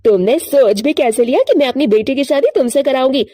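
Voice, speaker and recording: A proud mother bird, acted by a woman narrator, haughty and quick to scold. Her tone is disapproving but mellow in timbre, recorded very dry for a cartoon moral story. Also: high-pitched, no room sound.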